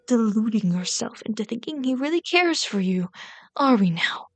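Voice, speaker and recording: A woman playing a bitter, lovelorn villain in an intimate ASMR roleplay, deliberate and intense, often slipping into breathy whispers. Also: on the high side, animated. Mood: scornful, accusing. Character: bitter, lovelorn villain pleading with a hero's wounded sidekick.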